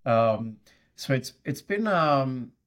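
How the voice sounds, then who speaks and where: A man's voice: mid-range voice, animated, slow, a bit bright. A middle-aged man from Sweden with a Swedish accent, a guest answering interview questions in a hesitant way, with many fillers and restarts. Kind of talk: conversational interview.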